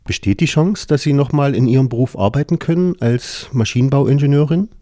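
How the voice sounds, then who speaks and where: Mellow-voiced male radio interviewer. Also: mid-range voice, brisk, no room sound, studio quality. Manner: calm, asks several questions in a row.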